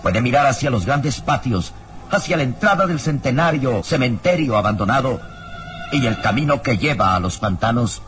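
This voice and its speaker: A man's voice: medium pitch, expressive, normal speed, fairly bright tone. A man narrating a radio serial in a theatrical, suspenseful, scripted style. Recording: radio drama with music underneath.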